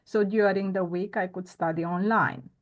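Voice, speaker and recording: A woman, a guest telling her story earnestly and reflectively, pausing often on "uh" and "um". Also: mid-range voice, steady tone. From Romania. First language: Romanian. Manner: earnest, reflective, with frequent "uh" and "um" pauses. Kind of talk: conversational interview storytelling.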